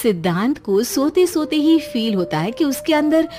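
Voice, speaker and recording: A woman narrating a cartoon moral story in a lively, expressive manner. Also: high voice, normal speed, a little hiss or hum.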